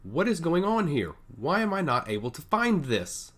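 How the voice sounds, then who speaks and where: A man's voice: fairly high pitch, very animated, moderate pace, voice pushed up. A man playing a frantic, petulant young lord. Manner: shouting, irritable. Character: petulant young male noble, frantic and blaming.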